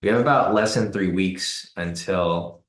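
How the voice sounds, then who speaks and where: A man hosting a live trading stream, hoarse and raspy from the cold, talking casually with many fillers and repeated words. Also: deep voice, unhurried, echoey room. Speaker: trading livestream host. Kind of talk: casual live-stream commentary answering chat.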